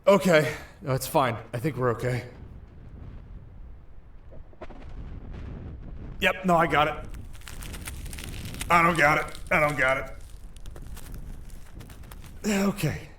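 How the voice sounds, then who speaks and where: A man's voice: a little high, unhurried, pauses often, sharp tone, a little hiss or hum. A man playing a cocky, playful winter spirit in an ASMR roleplay, speaking straight to the listener in flippant, sarcastic, casual banter. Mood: nervous, urgent.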